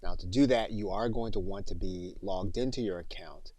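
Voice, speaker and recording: Casting: male software trainer, fairly deep voice, orderly instructional delivery, dry recording. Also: moderate pace, dry recording. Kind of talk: step-by-step screen tutorial narration.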